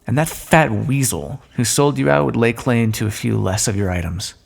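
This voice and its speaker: A man playing a smug, sardonic thief in an audio roleplay, speaking with mocking mock-courtly politeness and drawn-out emphasis in a long echo. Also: medium pitch, creaky, husky. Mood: gloating. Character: smug, sardonic male thief, gloating at a fallen monarch. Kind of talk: scripted audio roleplay, theatrical monologue. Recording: long echoing reverb.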